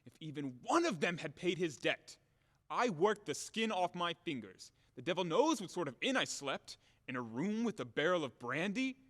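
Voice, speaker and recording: An actor playing a furious, self-pitying landowner who rants and grumbles theatrically in an audio play comedy. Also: male voice, a little high, very animated, moderate pace, a bit bright. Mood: indignant, demanding. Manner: theatrical, exasperated ranting and grumbling. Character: blustering, furious, self-pitying male landowner demanding his money. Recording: echoey hall-like reverb.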